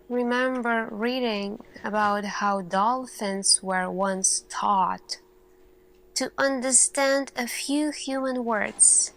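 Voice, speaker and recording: A woman acting as an earnest, thoughtful lead scientist addressing her team in a soft-spoken ASMR roleplay, speaking deliberately with long pauses. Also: a little high, creaky, heavily acted, low-quality recording.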